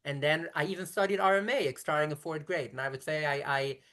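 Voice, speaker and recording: A woman rabbi in her thirties from brooklyn, yiddish first language, talks fast in long explaining runs, keeps restarting and fixing her words mid-sentence. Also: deep voice, fairly even intonation, fairly bright tone. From Brooklyn, New York. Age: 30s. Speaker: rabbi, interview guest. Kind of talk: conversational interview.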